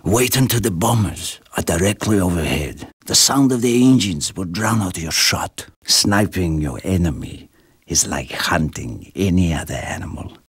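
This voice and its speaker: An actor playing a vengeful, commanding middle-aged Soviet sniper in a video game, with a Russian accent, a gravelly, husky voice and dramatic, deliberate delivery. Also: male voice, sits low, lively intonation, heavily acted. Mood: grim, low intensity. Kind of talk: video game character acting.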